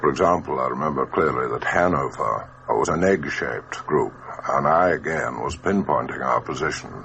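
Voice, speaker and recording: A man's voice: rumbling low, normal speed, a bit bright, lo-fi sound. A middle-aged man recalling wartime service as a former squadron leader, precise and assured. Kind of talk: recounting wartime memories. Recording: faint hum and hiss.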